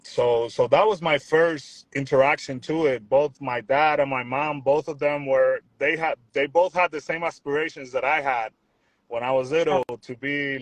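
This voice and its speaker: A young man from Puerto Rico, talking in a relaxed, rambling way as a musician guest on a video call. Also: mid-range voice, no echo, poor audio. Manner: relaxed, rambling, repeats words, says "you know what I'm saying". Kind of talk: casual interview chat.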